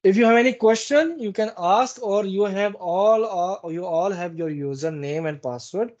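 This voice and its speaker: A man runs a live software training session. His voice sits a little high for a man, with a soft, dark, relaxed tone. He explains step by step in a halting, instructive way, repeating phrases, and the recording is somewhat dull and lo-fi. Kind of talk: live training walkthrough with screen demonstration. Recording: dull, muffled lo-fi meeting recording.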